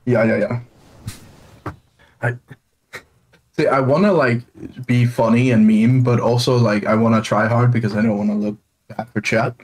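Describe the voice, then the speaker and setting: A man's voice: medium pitch, fairly even intonation, normal speed, long pauses, darkish tone, low-quality recording. A man from Denmark playing a card game over voice chat, sarcastic and self-mocking. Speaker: streamer, duo partner. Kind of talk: casual gaming chat.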